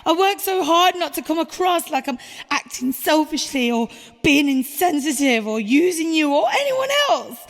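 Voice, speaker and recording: A woman's voice: high-pitched, very animated, moderate pace. A woman playing a wounded, pleading and defensive girlfriend clinging to her relationship in an audio drama. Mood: pleading, strained. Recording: long reverberant hall sound.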